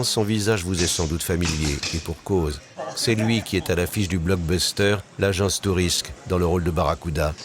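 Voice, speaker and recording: A man's voice: deep voice, noisy background. A man reading scripted documentary narration as the narrator. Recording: narration over clearly audible music. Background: music.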